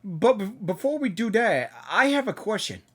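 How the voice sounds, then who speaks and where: A woman reacting to a game, murmuring along and breaking into sudden excited outbursts. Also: mid-range voice, normal speed, husky. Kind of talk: live reaction commentary.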